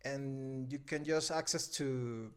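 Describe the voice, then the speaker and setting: A Venezuelan man in his thirties, a native Spanish speaker, talking hesitantly in an interview and often pausing to find his words. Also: mid-range voice, fairly even intonation. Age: 30s. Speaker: interview guest, film editor. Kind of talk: casual interview conversation.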